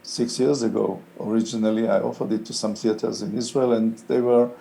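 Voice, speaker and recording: An older man with a low, mellow voice speaks English as a second language, his first being Hebrew. He talks slowly and thoughtfully, often pausing on fillers as he gathers his words. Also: steady tone, vocal fry, low-quality recording. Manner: thoughtful, halting, many "uh" fillers. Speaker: interviewed playwright.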